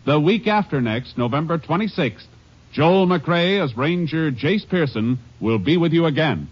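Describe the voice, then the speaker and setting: A man's voice: on the high side, lively intonation, a little slow. A man announcing in formal, polished, scripted mid-century network radio style. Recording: muffled old broadcast, loud music.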